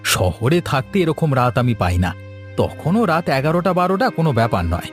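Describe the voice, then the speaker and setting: A man's voice: medium pitch, very animated, moderate pace, faint music behind, lightly acted. A man voicing a confident, eager young city man in a cartoon story. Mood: eager, breezy.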